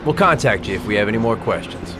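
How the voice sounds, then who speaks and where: Brisk, probing policeman played by a man. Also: on the low side, animated, music in the background, close to an everyday voice. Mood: curt, official. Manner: businesslike, pressing. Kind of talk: dramatic video game acting.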